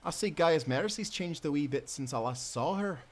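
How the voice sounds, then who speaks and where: Man acting a higher-voiced, spirited Scottish sailor. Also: animated, normal speed. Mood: wry. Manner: jaunty nautical dialect. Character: spirited, confident Scottish sailor, loyal and teasing. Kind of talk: cinematic audio adventure acting.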